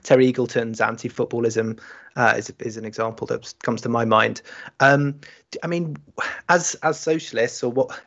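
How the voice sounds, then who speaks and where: A man's voice: mid-range voice, steady tone, moderate pace, vocal fry, a bit bright. A man hosting a podcast, asking long, hesitant questions full of hedges. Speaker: podcast host. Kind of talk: interview questions. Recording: slightly muffled recording.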